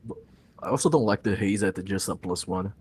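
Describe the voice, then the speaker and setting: A man's voice: sits low, brisk, husky. A man co-hosting a game recap, easygoing, chiming in with short comments. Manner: brief agreeing interjections, easygoing. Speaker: co-host of a league recap.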